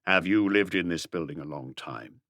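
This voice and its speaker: Man playing a low-voiced, measured police inspector. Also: fairly bright tone, no echo, clean studio sound. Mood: calm, inquiring. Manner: terse, measured, businesslike. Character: calm, authoritative male police chief inspector questioning. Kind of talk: audiobook character dialogue.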